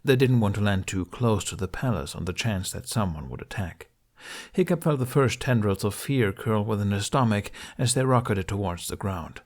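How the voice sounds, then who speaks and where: A man reading fiction as an audiobook narrator, with expressive, dramatic storytelling. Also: lowish voice, moderate pace.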